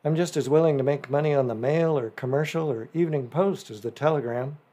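A shrewd, polite fifteen-year-old newsboy, as a man puts him on, pitched up and pushing his words forward. He sounds eager and respectful, with a slight huskiness under the voice. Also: fairly quick, warm tone, natural voice.

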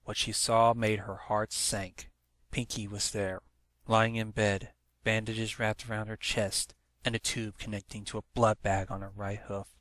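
A man's voice: on the low side, little pitch movement, measured pace, fairly bright tone, no echo. Man narrating a story, dry close sound. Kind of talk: scripted story narration, fan fiction read-aloud.

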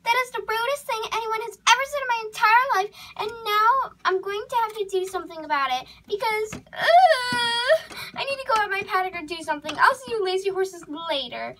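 A woman's voice: high voice, animated, measured pace, bright, forceful tone, slight background noise, full character voice, rough recording. A woman voicing a bossy, melodramatic, easily upset female horse in a whiny, theatrical way for an animal role-play drama. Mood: offended, tearful.

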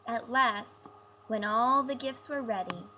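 A woman reads a fairy tale aloud in storybook style. Her voice sits a little high, and there is faint noise behind her on the recording. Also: slow pace, pushed and bright, rough recording. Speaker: storybook reader.

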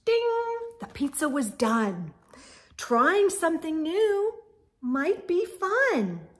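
A middle-aged woman reading a children's storybook aloud with expressive, exaggerated emphasis and sing-song refrains. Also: very high voice, extremely slow. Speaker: storytime read-aloud host. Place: indoor room with bookshelves.

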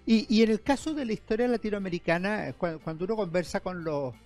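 A middle-aged man with a notably high voice hosts a studio interview. He asks long, winding questions in a friendly tone over a headset mic, with faint music underneath. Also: fast, a little mellow. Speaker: historian and TV show host. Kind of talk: interview hosting. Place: TV studio set.

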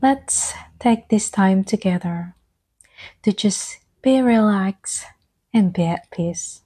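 A woman speaks softly in a slow, soothing ASMR meditation style. Her voice is mellow and breathy, often thinning toward a whisper. She leaves long, gentle pauses between short phrases. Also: mid-range voice. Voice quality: soft-spoken, breathy, often slipping into whisper. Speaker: ASMR guide and host.

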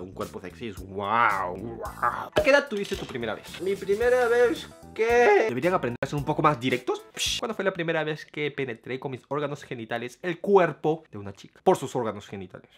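A young man in his twenties from Lima with a Peruvian accent, answering viewer questions in a casual, jokey vlog, swinging between loud bursts and hushed asides. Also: mid-range voice. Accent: Peruvian Spanish, from Lima. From Peru. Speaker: vlogger. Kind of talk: casual talking-head Q&A. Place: small indoor room.

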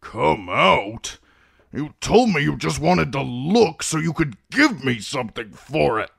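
A man voicing a grouchy, proud old man of a clan in a storybook, with a creaky, grumbling delivery. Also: fairly high pitch, big pitch swings, measured pace, a bit bright, no echo, studio quality, voice pushed up, heavily acted. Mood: indignant. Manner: grumbling, impatient, snapping.

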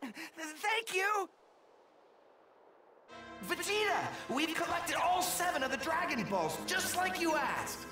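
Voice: extremely high pitch, big pitch swings, slow, long pauses, heavy reverb, recorded from a distance, heavily acted. An actor playing an earnest, polite and nervous young male alien guardian in an anime video game. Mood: eager. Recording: music under the voice.

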